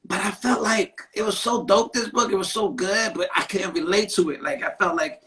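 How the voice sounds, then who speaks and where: A man hosting a casual live-stream talk, speaking in an animated, emphatic way and often ending thoughts with "right?". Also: high voice, moderate pace. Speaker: podcast host; therapist.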